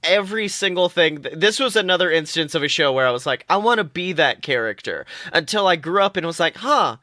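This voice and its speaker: A young adult podcast co-host chatting casually about fandom in an animated, exaggerated and joking way. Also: mid-range voice, fairly quick, no room sound, studio quality. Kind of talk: casual fan podcast chat.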